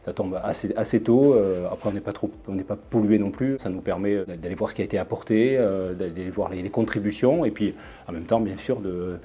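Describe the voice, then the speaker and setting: Dark-voiced man speaking haltingly over music. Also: fairly low pitch, slightly rough. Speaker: platform user, testimonial interviewee. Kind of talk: unscripted interview testimony.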